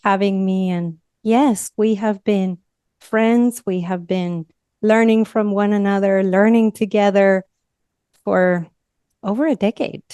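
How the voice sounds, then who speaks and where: A woman's voice: medium pitch, fairly even intonation, takes it slowly, warm tone, clear, resonant tone, dry recording, clean studio sound. A woman from northern Argentina with a Spanish accent, telling her story as a podcast guest in a reflective way. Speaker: guest, communication coach. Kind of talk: podcast interview.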